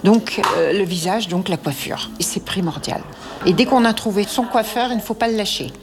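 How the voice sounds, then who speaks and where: A woman interviewed in a hair salon, speaking deliberately and repeating words for emphasis. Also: medium pitch, music in the background. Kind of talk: radio interview. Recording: loud hair dryer noise in salon. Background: hair dryer.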